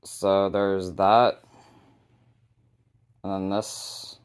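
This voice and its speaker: A man hosting a casual, unscripted hobby video showcase, talking informally and a bit haltingly. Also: low voice, level tone, clear, resonant tone. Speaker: hobbyist video host showing a radio collection.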